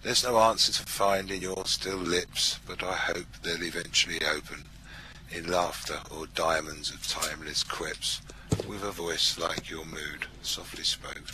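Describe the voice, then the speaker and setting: A man's voice: low voice, steady tone, measured pace, noisy background. A man reciting a poem aloud in the style of a poetry reading.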